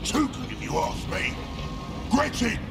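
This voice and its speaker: Brash, very high-pitched ork warboss. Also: male voice, big pitch swings, unhurried, vocal fry, heavily acted. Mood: brash, bossy. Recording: echoing voice over very loud music.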